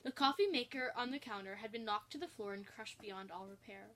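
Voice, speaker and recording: A woman narrates a comic fan story in a fairly high, very bright voice. She reads as a storyteller, voicing the prose aloud. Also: fairly quick, slight background noise.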